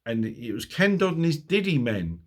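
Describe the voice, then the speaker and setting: A man's voice: a little high, sing-song, normal speed. A man, middle-aged, whose throat is sore and voice is going. He chats to a phone camera in a loose, rambling way, laughing at his own jokes and catching himself going off on tangents. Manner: rambling, chuckling, self-mocking asides. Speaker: motorcycle vlogger. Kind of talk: casual chat to camera.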